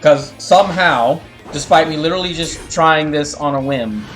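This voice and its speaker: A man talking casually to his livestream chat as a game streamer, halting and rambling as he corrects himself. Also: on the high side, fairly bright tone, faint music behind.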